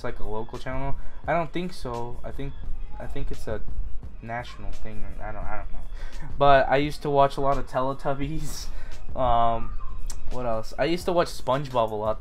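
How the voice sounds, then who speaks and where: A young man from Utah answering viewer questions in a casual, rambling vlog, full of fillers and restarts. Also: mid-range voice.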